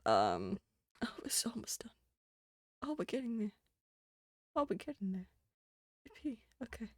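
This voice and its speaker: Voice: medium pitch, huge pitch swings, unhurried, no room sound, studio quality. A streamer with a New Zealand accent, chatting casually on a live stream, rambling and swearing. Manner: rambling and swearing, with frequent laughs.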